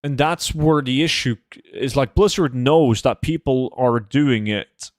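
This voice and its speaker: Guy chatting on his game stream, blunt n sweary, normal pitch, dry mic sound. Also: a little slow, no room sound. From Norway. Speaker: game livestreamer. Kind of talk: casual livestream chat while gaming.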